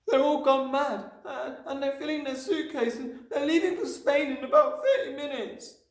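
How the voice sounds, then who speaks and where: A man's voice: very high voice, normal speed, pitched above the performer's own voice. A man voicing a small, passionate schoolgirl from a storybook, exclaiming and pleading urgently. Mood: urgent, upset. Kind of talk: storybook character voice. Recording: roomy, distant microphone.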